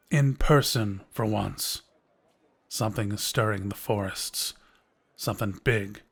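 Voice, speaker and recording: A sly fantasy quest-giver, acted by a man, speaks at a very unhurried pace in a medium-pitched voice. He is knowing and teasing, coaxing the listener with measured, insinuating phrases. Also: fairly bright tone. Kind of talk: fantasy audio roleplay addressed to listener.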